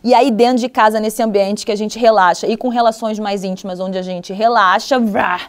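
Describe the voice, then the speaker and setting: Brazilian woman co-host, mid-pitched, candid and reflective, casual chat. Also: steady tone, moderate pace, clean tone. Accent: Brazilian Portuguese. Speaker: podcast co-host.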